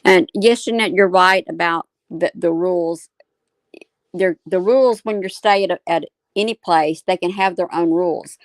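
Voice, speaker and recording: A woman's voice: lowish voice, normal speed, no room sound. A woman panelist casually explaining a point in answer to a comment.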